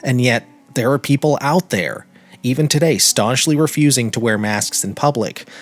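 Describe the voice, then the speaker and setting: An American man hosting a podcast, speaking in an earnest, emphatic way with deliberate phrasing. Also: medium pitch. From United States. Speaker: podcast host and narrator. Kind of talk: podcast introduction and personal commentary. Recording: music behind the voice. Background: background music.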